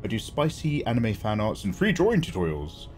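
Excitable English streamer with a lively voice. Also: male voice, lowish voice, fairly quick, faint music behind. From England. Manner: excitable, swears freely. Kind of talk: casual live-stream chat.

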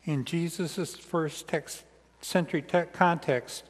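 An older American man delivers a sermon in a fairly high voice. He phrases deliberately and pauses often, as if reading from notes. His words ring with the long reverb of a church sanctuary. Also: fairly even intonation, slight background noise. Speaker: pastor preaching. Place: large church sanctuary, indoors, seated at the front. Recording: reverberant church hall sound.

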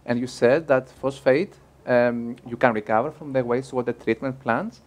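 Man, young adult, moderator, careful probing questions, panel discussion, bright room by windows. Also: mid-range voice, fairly even intonation, moderate pace. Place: bright room by large windows over a canal.

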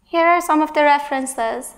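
High-pitched woman giving a tech talk. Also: normal speed. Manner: emphatic, exasperated asides. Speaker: conference speaker. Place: large stage in a hall.

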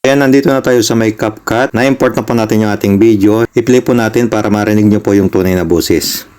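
A Filipino man with a fairly low voice walks viewers through an app. He hosts casually and conversationally, explaining each step politely. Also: fairly even intonation, brisk, darkish tone, smooth. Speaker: tutorial host.

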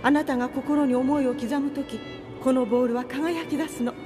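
A woman playing a gentle, refined, serene queen mother in an anime cartoon. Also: high-pitched, steady tone, moderate pace, music in the background, natural voice. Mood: serene, reassuring. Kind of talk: Japanese anime character acting.

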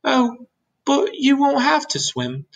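A woman's voice: mid-range voice, sing-song, normal speed, a bit bright, highs cut off, pitched above the performer's own voice. A woman voicing an earnest, hopeful young boy in a children's story, speaking emphatically. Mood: calm confidence.

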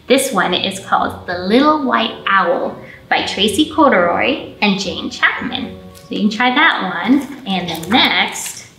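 A woman in her thirties speaking to young children as a storytime host, in an animated, encouraging way with drawn-out emphasis. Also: medium pitch, gravelly, faint music behind. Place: indoor library storytime room. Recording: roomy indoor echo.

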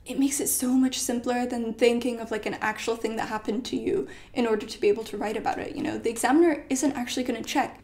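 A woman's voice: on the high side, warm tone. Chatty young woman giving exam tips. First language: Polish. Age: late teens. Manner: chatty, lots of "like" and "you know". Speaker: study vlogger. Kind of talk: casual advice vlog. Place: bedroom at home.

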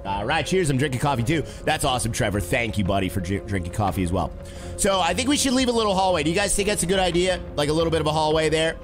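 A man with a raspy throat, streaming a game casually and chatting with viewers as host. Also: fairly high pitch, fast talker, over music. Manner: animated, talks to chat and reads comments aloud.

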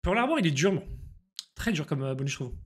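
A man, live-streaming slot games and chatting excitedly with viewers over a headset in a small room. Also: a little high, fast talker, husky. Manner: excitable, slangy, chats with viewers. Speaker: casino streamer.

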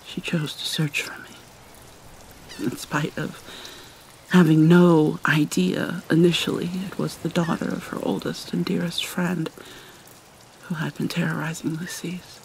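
A woman performs a haunted mermaid cursed with vampirism in an ASMR roleplay. She speaks very slowly and mournfully, with long pauses, her soft breathy voice often sinking to a whisper. Steady rain runs behind her. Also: sits low, slight background noise, poor audio. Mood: solemn, wistful. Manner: halting, mournful, dramatic pauses. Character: vampire mermaid, sorrowful young-looking princess, centuries old, haunted. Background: rain ambience.

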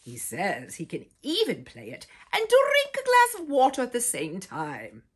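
A woman in her sixties reading a children's picture book aloud theatrically, dramatic and expressive, dropping into breathy whispers. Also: on the high side, a little slow. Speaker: storyteller, host. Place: small furnished room indoors with bookshelves.

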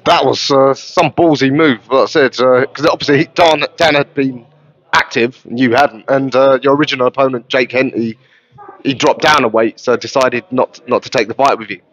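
A man's voice: medium pitch, fairly even intonation, moderate pace, a bit bright, dull audio. A man interviewing a boxer at a gym, asking long, rambling questions with plenty of ums and uhs. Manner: chatty, rambling questions with fillers. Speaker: boxing interviewer. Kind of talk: casual interview. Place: boxing gym, indoors.

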